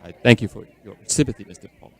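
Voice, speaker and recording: A man playing a courteous, melancholy and mysterious gentleman in a radio drama, speaking in a formal, measured way. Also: on the low side, vocal fry. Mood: sorrowful. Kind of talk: audio drama dialogue.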